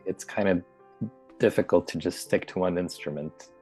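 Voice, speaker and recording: A man's voice: low-pitched, moderate pace, warm tone, faint music behind. A young man who is a native Russian speaker, talking casually and thoughtfully as a guest, with plenty of fillers and hesitations. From Belarus. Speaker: English teacher, guest. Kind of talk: casual conversation.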